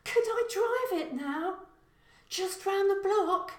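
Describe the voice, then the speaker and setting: Teenage apprentice burglar female reader: high, eager, questioning son, crime audiobook, echoey room. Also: lively intonation, slow pace, far from the mic, exaggerated voice. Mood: eager, excited. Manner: questioning, keen.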